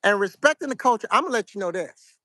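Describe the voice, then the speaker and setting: A middle-aged man from New York with a New York accent, giving casual, soft-spoken advice to his viewers in a fairly flat, monotone way. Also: high voice, fast, no room sound, clean studio sound. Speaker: vlogger.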